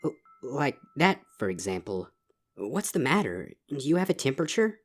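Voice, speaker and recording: A woman's voice: very deep voice, big pitch swings, normal speed, heavily acted. A woman voicing a stern yet concerned schoolteacher pony in a dramatized story reading, questioning and admonishing. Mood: mildly annoyed. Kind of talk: dramatized fan fiction audio reading. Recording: music under the voice.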